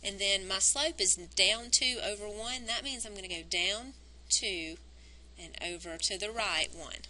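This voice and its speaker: A woman teacher recording a math lesson on a wired mic, explaining each step and counting points aloud, her voice worn and going hoarse. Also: medium pitch, unhurried, bright, forceful tone, poor audio. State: losing her voice. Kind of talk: recorded math lesson, working through graphs. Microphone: wired microphone on a cord.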